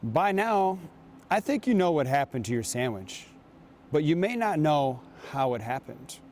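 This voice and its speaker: A man reading aloud and talking to children as a guest reader, with an unhurried, storytelling manner. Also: on the high side, very animated, a little mellow, a little hiss or hum. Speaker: guest reader, basketball coach.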